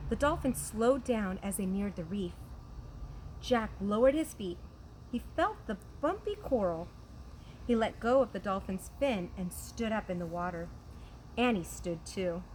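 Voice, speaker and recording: A woman's voice: mid-range voice, poor audio. Woman reading a storybook, some background noise. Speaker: teacher reading aloud to pupils. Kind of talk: expressive children's storybook read-aloud. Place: outdoors on a trampoline. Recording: background noise, outdoor ambience.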